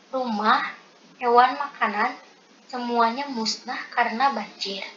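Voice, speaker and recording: A young woman reading out presentation material item by item as a student presenter. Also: on the high side. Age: young adult. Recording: dull lo-fi audio with loud noise.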